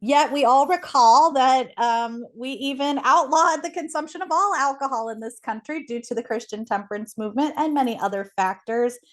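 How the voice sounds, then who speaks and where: A woman's voice: high voice, moderate pace, few pauses. A woman speaking as an expert interview guest, explaining things conversationally in an articulate, enthusiastic way.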